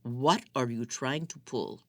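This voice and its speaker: A woman's voice: rumbling low, takes it slowly, a bit bright, no room sound, exaggerated voice. A woman voicing an angry male captor. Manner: harsh, demanding.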